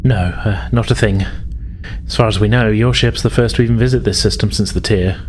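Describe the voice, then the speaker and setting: Man playing a woman space castaway, polite, halting, wistful, low-pitched, background noise. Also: fairly even intonation, darkish tone, lightly acted. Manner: hesitant, halting. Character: woman crash survivor, polite, wistful former Starfleet officer. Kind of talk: tabletop roleplay in character.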